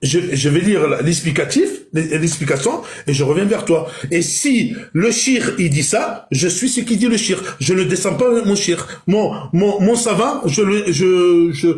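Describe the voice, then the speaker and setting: A man's voice: high-pitched, expressive, unhurried, hardly any pauses, darkish tone, roomy sound. A man in an online voice-chat debate, hesitant and repeating himself as he stumbles over his words.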